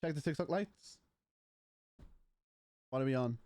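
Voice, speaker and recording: A man's voice: medium pitch, measured pace, darkish tone, dry recording. A man, casually chatting and bantering with his livestream chat. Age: 20s. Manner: banters with chat, mocking and playful. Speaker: streamer.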